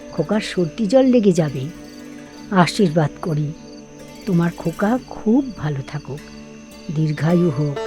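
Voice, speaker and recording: A woman voicing a knowing old village grandmother in an animated horror cartoon, speaking in a coaxing, motherly way. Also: medium pitch, lightly acted. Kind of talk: animated horror story dub. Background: background music.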